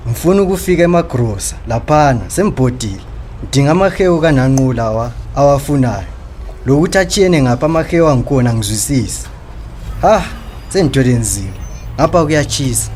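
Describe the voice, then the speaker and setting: Concerned Zimbabwean working man, acted, mid-pitched. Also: expressive, fast, darkish tone, a little hiss or hum, lightly acted. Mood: friendly, concerned. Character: concerned, respectful working man, husband's frank friend. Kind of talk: radio drama acting in Ndebele.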